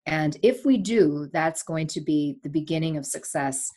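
A woman's voice: low-pitched, moderate pace. A woman from Sri Lanka in her forties, a longtime broadcaster, talking candidly and emphatically as a guest in a remote interview. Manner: emphatic, candid, animated storyteller. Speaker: broadcaster and podcast host, here the guest. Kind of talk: casual interview chat. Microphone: remote call.

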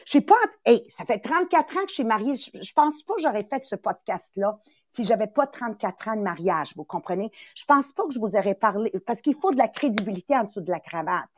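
A woman's voice: on the high side, fairly quick, no echo, clean studio sound. A woman around sixty with a Quebec French accent, born in Italy, hosting a motivational talk in an emphatic, repetitive way. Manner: animated and emphatic, repeats phrases, acts out little voices. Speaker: podcast host and sales coach.

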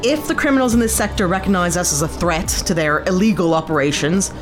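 A woman's voice: fairly low pitch, quick pace, over music, lightly acted. An actress playing a bossy, self-important, impatient female space mercenary in a sci-fi audio drama, commanding and exasperated.

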